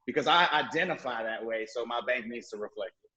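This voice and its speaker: A man's voice: medium pitch, brisk, bright, forceful tone, highs cut off. A man in his thirties from the Southern US, talking casually on a discussion panel, rambling with restarts and fillers. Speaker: panel guest.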